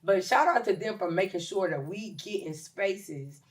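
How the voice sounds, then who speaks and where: A woman in her late forties, a chef and caterer talking as a guest in a casual podcast interview, emphatic and lively, with many ums. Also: medium pitch, normal speed. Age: 40s. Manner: lively, emphatic, with shout-outs and filler ums.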